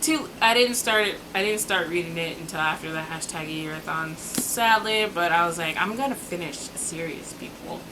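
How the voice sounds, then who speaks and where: A woman with a fairly low voice talks casually to camera as a book vlogger. Her phrasing is informal and slangy, with exasperated asides and stretched-out words for emphasis. Clear background noise sits behind her. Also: moderate pace, hardly any pauses.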